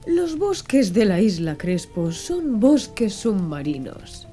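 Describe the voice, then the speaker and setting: A woman's voice: medium pitch, sing-song, slow, mellow, soft background music. A woman voicing a polite, earnest and curious male professor in an audiobook. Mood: patient, firm. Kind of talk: audiobook character dialogue.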